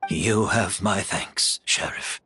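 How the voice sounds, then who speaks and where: An actor playing an ailing, principled former criminal full of remorse in an anime game, speaking in an earnest, weary, measured way. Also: male voice, sits low, sharp tone, faint music behind, full character voice. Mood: resigned, sincere.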